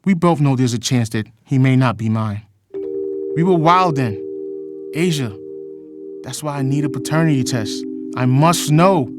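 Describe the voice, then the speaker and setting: A man's voice: medium pitch, measured pace, long pauses, natural voice. An actor plays a middle-aged retired policeman in an audio drama, a man pleading for an old love. He is dramatic and insistent, stretching out his declarations. Prominent music plays behind him. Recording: loud music under the voice.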